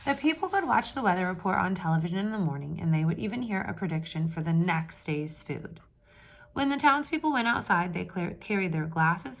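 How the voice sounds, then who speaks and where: A woman reading a picture book aloud to children with lively expression, pausing to ask her listeners questions in hushed asides. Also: on the low side, creaky, slight background noise. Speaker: read-aloud storyteller for children.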